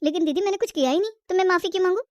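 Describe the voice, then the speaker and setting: A woman's voice: very high-pitched, rapid-fire, no echo, clean studio sound, voice pushed up. A woman voicing an outspoken young sister who argues and protests defiantly in a cartoon family story. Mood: resentful. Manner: argumentative, protesting.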